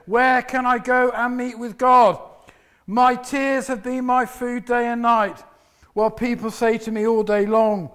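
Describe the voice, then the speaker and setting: A man preaching a sermon with a loud, booming voice, pacing about and sometimes dropping to a soft prayerful tone. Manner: drops to soft hushed prayer voice.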